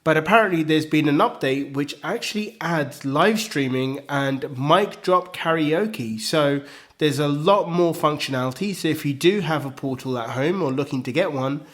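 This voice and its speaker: A man hosting a solo tech news podcast, chatty and upbeat with frequent ums, his voice slightly croaky from a cold. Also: fairly high pitch, moderate pace, fairly bright tone. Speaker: podcast host.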